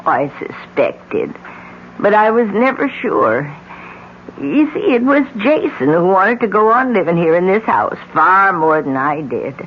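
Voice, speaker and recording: A bitter, worn-out elderly mother in a radio play. She talks very slowly, halting and resigned. The old recording is muffled and a little noisy. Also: medium pitch, very animated. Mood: weary, bitter. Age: older. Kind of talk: old-time radio mystery drama acting.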